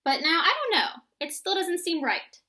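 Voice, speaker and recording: A woman voicing an imaginative, excitable young boy who spins tall tales in a rhyming storybook. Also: very high voice, moderate pace, a little hiss or hum, voice pushed up, heavily acted. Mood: musing, excited.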